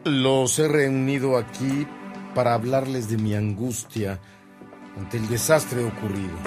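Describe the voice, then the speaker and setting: Solemn, slow-speaking Mexican bishop played by a man. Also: mid-range voice, close to an everyday voice. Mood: earnest, grave. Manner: solemn, formal, measured. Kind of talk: radionovela acting.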